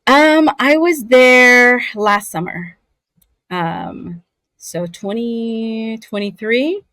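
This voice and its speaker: A woman from Southern California, a voice actor, chatting as a guest over a remote video call from home, relaxed and quick to laugh. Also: on the high side, dry recording. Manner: relaxed, unhurried, laughs easily. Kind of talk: casual interview chat. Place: home in Scotland.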